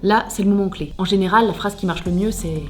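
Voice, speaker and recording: A woman's voice: mid-range voice, brisk, reverberant, over music. A woman presenting a scripted tutorial in a direct, conversational way with wry, playful humour. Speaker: presenter of job-search tutorial.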